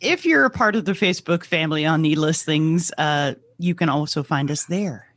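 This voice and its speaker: Female podcast co-host, mid-pitched, wry and conversational, very dry sound. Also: animated, normal speed, a bit bright, no room sound. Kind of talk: casual chat.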